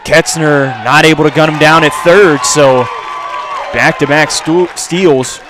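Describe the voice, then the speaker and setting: A man's voice: a little high, fairly even intonation, measured pace. Man calling baseball live over crowd noise. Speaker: play-by-play baseball commentator. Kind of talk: live radio baseball commentary. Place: outdoor ballpark. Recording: outdoor crowd and background noise. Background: ballpark crowd and ambient noise.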